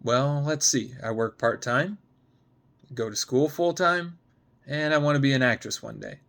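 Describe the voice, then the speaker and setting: A man's voice: mid-range voice, unhurried, fairly bright tone. A man voicing a hungover, sarcastic, guarded young woman in a dramatic script reading, blunt and foul-mouthed. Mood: sardonic, guarded. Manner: sardonic, blunt, swearing.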